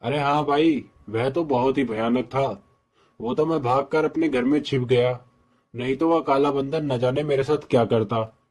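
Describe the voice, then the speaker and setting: A man's voice: mid-range voice, moderate pace, a little mellow, close to an everyday voice. An actor playing a blustering village paan seller, boastful but cowardly, in a cartoon story; a man's voice with colloquial speech. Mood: shaken.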